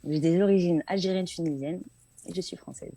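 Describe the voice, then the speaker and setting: Woman from france, kinda low husky voice, chatty interview guest, repeats words for emphasis. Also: fairly quick, warm tone, no room sound, rough recording. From France, Paris region. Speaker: guest singer being interviewed. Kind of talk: casual interview chat.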